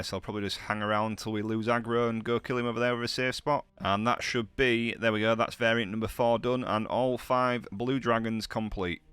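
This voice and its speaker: A man with a bad cold narrates his gameplay in a chatty, slangy, upbeat way. His voice sits fairly low and sounds smooth and clear. The sound is dry and close, with no room around it. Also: sharp tone, dry recording. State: has a heavy cold. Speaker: gaming channel host. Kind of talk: gameplay commentary.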